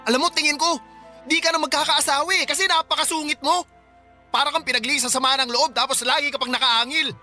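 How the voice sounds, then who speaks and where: An actor voicing a defiant, sulky young man in a radio drama, talking back to his older sister with quick retorts. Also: sits high, steady tone, bright, forceful tone, faint music behind. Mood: heated.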